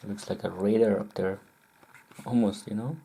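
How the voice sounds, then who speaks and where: A very dark, mellow voice with a husky, creaky grain gives a casual running commentary. The delivery is halting and muttered, broken by frequent throat-clearing. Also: low-pitched, steady tone. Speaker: video maker demonstrating close-up specimens.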